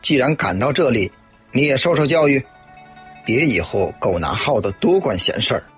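Actor as husky, brusque policeman, music behind. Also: medium pitch, sing-song, moderate pace, fairly bright tone, exaggerated voice. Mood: stern. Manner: brusque, scolding. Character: stern policeman, brusque, scolding. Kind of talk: radio drama acting.

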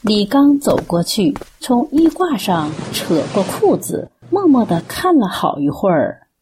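A woman's voice: mid-range voice, big pitch swings, measured pace, music in the background. A woman narrating a scripted drama in a storytelling style.

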